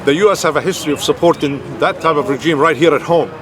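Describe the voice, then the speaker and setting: A man's voice: fairly high pitch, fast. Earnest man at a noisy outdoor rally. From Palestine. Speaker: activist interviewee. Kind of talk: street interview at a rally. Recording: outdoor recording with loud crowd.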